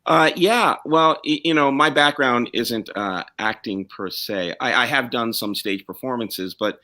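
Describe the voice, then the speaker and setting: A man's voice: medium pitch, a little slow, pushed and bright. A man chatting casually as he introduces himself for an audition, with an eager manner. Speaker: auditioning singer-songwriter. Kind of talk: casual chat, self-introduction.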